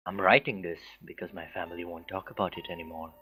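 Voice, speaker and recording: A man's voice: low-pitched, fairly even intonation, fast, bright, forceful tone, breathy edge. A man reading a story aloud as an amateur, stumbling over words and dropping in casual asides. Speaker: story reader. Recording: music behind the voice. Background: background music.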